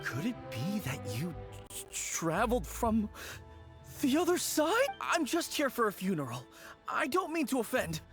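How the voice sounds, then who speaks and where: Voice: mid-range voice, wildly swooping pitch, measured pace. A woman voicing a timid, panicky young male novice undertaker in a video game, stammering, jittery and pleading. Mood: uneasy. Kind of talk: English video game voice acting. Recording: clear background music. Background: game music.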